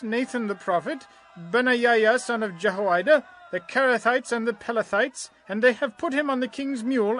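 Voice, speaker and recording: An actor playing an urgent messenger with alarming news in a dramatized scripture reading, emphatic and declamatory. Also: male voice, very high-pitched, fairly bright tone, a little hiss or hum.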